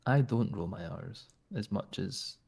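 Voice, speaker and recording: A man's voice: on the low side, unhurried, gravelly, darkish tone, slightly rough. A man with a Scottish accent talking in character during a roleplay, flirtatious and teasing. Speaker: roleplay player.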